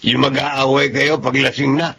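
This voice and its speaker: Gravelly, stern older storekeeper. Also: male voice, medium pitch, flat delivery, moderate pace, pushed and bright, slight background noise. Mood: warning. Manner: scolding, firm, no-nonsense. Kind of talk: Filipino radio drama acting.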